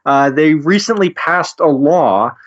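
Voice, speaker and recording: A man's voice: a little high, animated. Slightly under-the-weather male co-host, lo-fi. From Canada. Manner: measured, explanatory, sets up questions. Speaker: podcast co-host. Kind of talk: casual business podcast chat. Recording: dull lo-fi audio.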